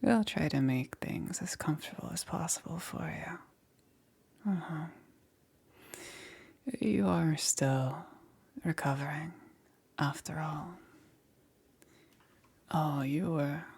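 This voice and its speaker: A woman playing a soft-spoken, secretive witch in an intimate ASMR roleplay, soothing and hesitant, often dropping into a whisper. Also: low-pitched, slow, pauses often, exaggerated voice. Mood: soothing, apologetic. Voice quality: breathy, husky, often whispering.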